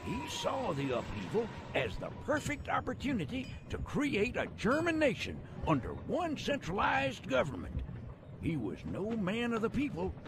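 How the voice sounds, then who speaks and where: Game narrator: jovial Western old-timer, folksy drawl, crackly gravel in a high-set voice, theatrical storytelling. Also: male voice, heavily acted. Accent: American Southern or Western drawl. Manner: colloquial, theatrical drawl with chuckles.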